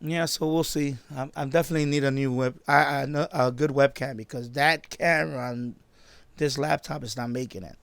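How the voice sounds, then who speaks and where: A man's voice: medium pitch, steady tone, fairly quick, gravelly, fairly bright tone, clear, resonant tone, no echo. A fifty-year-old man from the Bronx with a New York accent, chatting casually and rambling informally on a live stream, often repeating his phrases. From born in Puerto Rico, grew up in the Bronx, New York. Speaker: live stream host, shortwave radio hobbyist. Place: basement at home.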